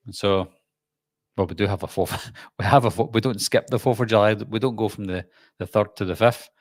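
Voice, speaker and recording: A man's voice: lowish voice, expressive, normal speed, long pauses, no echo. A man with a Glasgow accent, a YouTube host rambling casually through viewer comments on a live stream, full of ums and restarts. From Scotland.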